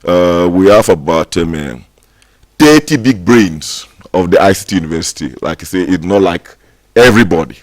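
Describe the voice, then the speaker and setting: A man speaking as a university representative in a TV interview, emphatic and promotional, pausing often with fillers. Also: sits low, expressive, no echo. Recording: dry studio sound.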